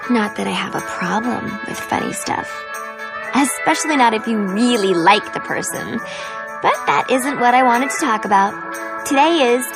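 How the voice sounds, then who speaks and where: Very high-pitched perky cheerleader. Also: female voice, full character voice. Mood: flirty, bubbly. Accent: American.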